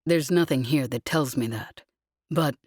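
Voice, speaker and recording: A woman narrator puts on a calm, scholarly male ex-convict from an audiobook space opera. His voice drops low, grave and deliberate, with a distant air. The sound is dry. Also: no echo.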